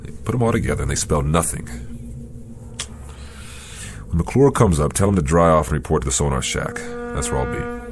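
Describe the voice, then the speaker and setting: An actor playing a gruff navy captain in a radio drama, authoritative and clipped as he gives orders and thinks aloud. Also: extremely low pitch, lively intonation, fairly quick, long pauses. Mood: puzzled. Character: gruff, commanding navy captain, puzzled but decisive. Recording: noisy radio drama background.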